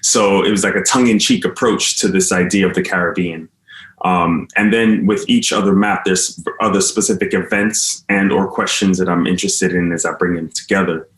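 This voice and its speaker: A man speaking as an artist on a panel, talking hesitantly with many pauses and restarts. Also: deep voice, fairly even intonation, a bit bright, echoey room, poor audio. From United States. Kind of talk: panel conversation.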